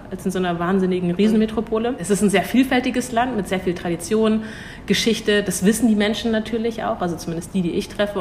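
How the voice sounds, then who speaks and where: A woman's voice: mid-range voice, a little mellow, a little hiss or hum. Thoughtful young woman, restarts often. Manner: thoughtful, hesitant with restarts, laughs easily. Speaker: cultural attaché at an embassy. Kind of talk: interview answers.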